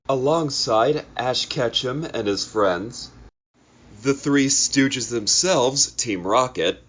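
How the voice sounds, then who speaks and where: A man with an average-pitched voice addresses viewers in a casual, explanatory way. He sounds like a hobbyist creator laying out an announcement. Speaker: amateur video creator and voice actor. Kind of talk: casual scripted-feeling vlog announcement.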